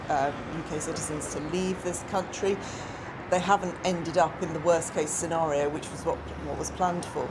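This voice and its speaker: A woman giving unscripted live analysis as a foreign news correspondent. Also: low voice. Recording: noisy outdoor live link, vehicle ambience.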